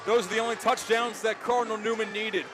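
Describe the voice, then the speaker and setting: A man doing excited, emphatic play-by-play football commentary with dramatic phrasing. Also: high-pitched, fast, crowd noise. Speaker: play-by-play sports announcer.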